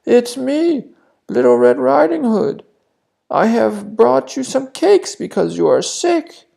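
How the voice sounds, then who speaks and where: A storyteller voicing a sly big bad wolf who fakes a sweet old grandma's voice in a thin, strained, drawn-out falsetto. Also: sing-song, gravelly, mellow, full character voice. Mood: sly, pretending.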